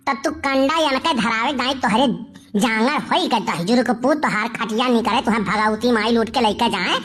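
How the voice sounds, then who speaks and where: A woman's voice: a little high, normal speed, fairly bright tone. A fierce village matriarch with an Awadhi accent , acted by a woman in a comedy cartoon. She scolds, curses and threatens in an earthy, combative way. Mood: angry scolding. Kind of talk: comic cartoon dialogue.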